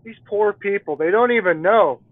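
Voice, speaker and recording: A man's voice: high-pitched, moderate pace, no room sound, phone-quality sound. A man co-hosting a casual gameplay commentary, joking and bantering playfully. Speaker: gaming co-host.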